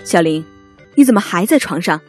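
A woman's voice: on the low side, animated, normal speed, close to an everyday voice. A woman acting in a radio drama as a busy mother of two, chiding but caring, with an admonishing maternal manner. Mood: hurried, firm. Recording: music bed under the voice.

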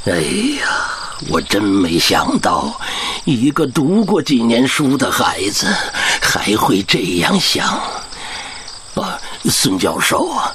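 Emotional old village chief, wildly expressive. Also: male voice, on the low side, slow pace, noisy background, full character voice. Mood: distressed. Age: older. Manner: expressive, earnest storytelling. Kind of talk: radio drama acting.

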